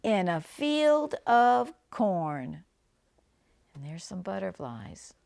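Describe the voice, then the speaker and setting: Older woman reading aloud, slow and lively. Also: mid-range voice, vocal fry. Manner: slow, deliberate phrasing that points out pictures to a child. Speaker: grandmother reading a picture book to children. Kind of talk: read-aloud storytelling with chatty asides and animal sounds.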